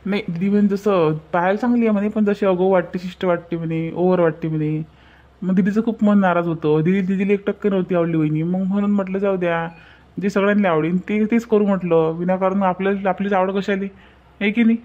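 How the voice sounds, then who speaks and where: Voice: medium pitch, fairly even intonation, fast talker, narrow, tinny audio, lightly acted. A performer voicing a bashful, eager young man in an amateur cartoon family drama, with a Vidarbha Marathi accent. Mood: resigned. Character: bashful young man smitten with a prospective bride.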